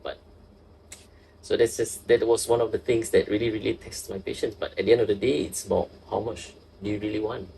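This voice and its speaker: A young man from Singapore with a Singaporean accent, a nurse talking as a guest in a casual interview, earnest and halting, often restarting his sentences. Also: on the low side, fairly even intonation, vocal fry, mellow, breathy edge, poor audio.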